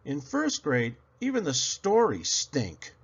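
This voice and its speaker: A man reading a children's picture book aloud as scripted narration, in an expressive storytelling manner. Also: a little high, slow, husky, dull audio.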